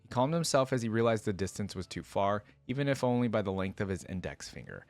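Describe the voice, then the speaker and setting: A man's voice: on the low side, big pitch swings, fast, no room sound, soft background music. A man reading a story aloud and breaking in with casual, enthusiastic commentary as a reaction video creator. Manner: casual, enthusiastic asides with frequent "like".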